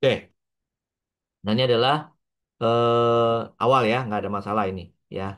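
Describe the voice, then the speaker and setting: A man with an Indonesian accent lecturing on a worked example step by step, halting often, mixing in English terms and adding little checking tags. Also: medium pitch, steady tone, a bit bright, smooth. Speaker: accounting lecturer.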